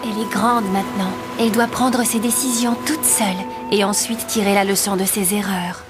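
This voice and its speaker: A woman's voice: medium pitch, fairly even intonation, normal speed, music in the background, lightly acted. A woman voicing a concerned, sensible young woman in a cartoon. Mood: sensible, calm. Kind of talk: French-dubbed cartoon voice acting.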